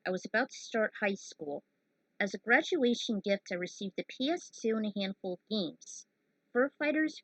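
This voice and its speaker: A woman's voice: mid-range voice, fairly bright tone, no room sound, poor audio. A woman in her thirties giving casual, opinionated commentary on her own fan fiction as author and narrator. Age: 30s.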